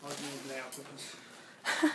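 A man's voice: medium pitch, completely flat delivery, slow pace, background noise. A young man with an English accent, chatting playfully and a bit bashfully in a casual vlog, recorded on a handheld phone. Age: young adult. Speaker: vlogger. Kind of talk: casual couple vlog chat. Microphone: handheld phone camera.

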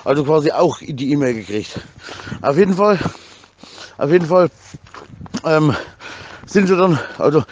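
A man's voice: a little high, a little mellow. A man chatting casually in an agitated, rambling way, stammering over repeated words, with slangy, crude swearing. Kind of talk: casual chat, agitated rant.

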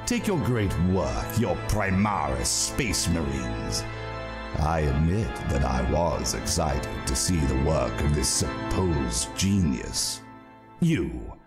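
An arrogant old warrior scientist with an extremely low voice that moves expressively through its phrases. He lectures with cool, pompous courtesy in a dramatic sci-fi reading, with loud music behind him. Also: very animated, full character voice. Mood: condescending. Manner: measured, formal, pompous. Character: arrogant, courteous, coolly lecturing old male mad scientist super-soldier. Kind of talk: dramatic audiobook-style reading.

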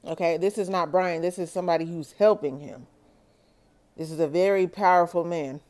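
A woman doing a solo tarot reading for her viewers, talking slowly and checking in with "okay?". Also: fairly low pitch, a little mellow. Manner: slow, measured, repeats "okay?" to viewers. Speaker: tarot reader.

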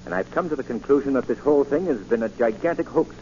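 An actor playing a decisive gentleman detective, formal and articulate, in 1940s radio drama style. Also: mid-range voice, lively intonation, fast, lightly acted. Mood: assured, decisive. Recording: muffled, lightly noisy 1940 radio recording.

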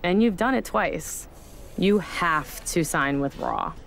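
A woman's voice: on the low side, animated, slow pace, vocal fry, a little hiss or hum, heavily acted. A woman voicing a blunt, pushy recruiter in a video game. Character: demanding female wrestling recruiter.

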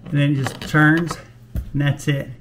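An older man hosting a home repair video in his kitchen, explaining in a relaxed, folksy, plain-spoken way on a lapel mic. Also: a little high, a little slow, a little hiss or hum. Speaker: DIY video host. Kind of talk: casual how-to demonstration.

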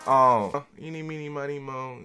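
A man's voice: mid-range voice, fairly even intonation, moderate pace. Young man bantering, music behind. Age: young adult.

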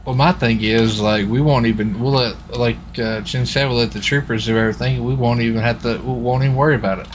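A man's voice: mid-range voice, quick pace, hardly any pauses, poor audio. Rambling man in roleplay chat, vehicle noise. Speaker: streamer roleplaying as a US Marshal. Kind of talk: casual in-game roleplay chat. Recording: steady game vehicle noise behind voice. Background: game car and city sounds.

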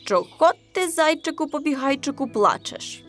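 A woman voicing a small, stubborn male crayfish who speaks deliberately in a children's folk tale. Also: high voice, lively intonation, fairly bright tone, faint music behind, voice pushed up. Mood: determined.

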